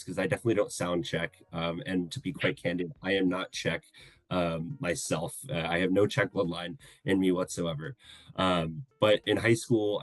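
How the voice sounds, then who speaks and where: Deep-voiced earnest man in his thirties. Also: dry recording. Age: 30s. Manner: earnest, measured, hesitant. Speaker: guest co-host. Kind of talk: casual podcast introduction.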